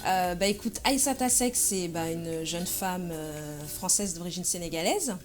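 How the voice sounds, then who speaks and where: A woman of about forty from France, answering interview questions in a measured, hesitant way with frequent pauses and fillers. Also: on the low side. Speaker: interview guest, association president.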